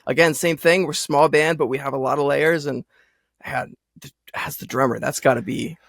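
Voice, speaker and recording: Easygoing man with a gravelly edge. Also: medium pitch, fairly even intonation, moderate pace, a bit bright, dry recording, studio quality. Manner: easygoing, laughs easily, says "like" often. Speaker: podcast producer and co-host. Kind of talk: casual conversational podcast.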